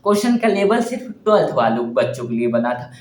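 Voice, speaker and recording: A man's voice: medium pitch, very animated, quick pace, a little mellow. Young man in an echoey room. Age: young adult. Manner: emphatic, repeats phrases for effect. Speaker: exam preparation vlogger. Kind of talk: direct address to exam candidates. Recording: roomy, echoing indoor sound.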